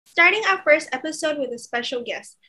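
A woman's voice: high voice, lively intonation, a little fast. A young female student co-host reading a prepared introduction and questions in a formal manner. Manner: bright, formal, reads prepared lines. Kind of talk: scripted show introduction.

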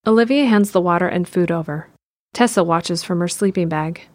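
A woman's voice: lowish voice, moderate pace, dry recording, clean studio sound. A woman reading scripted narration for an audio drama, describing the action as narrator. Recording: faint music under the voice.

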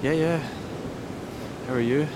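Fed-up male renter played by a man, fairly high voice, halting and sweary, naturalistic audio drama. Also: lightly acted. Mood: awkward. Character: agitated, fed-up young male renter, awkward and ranting. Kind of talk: naturalistic audio play dialogue. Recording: clear rumbling noise behind voice.

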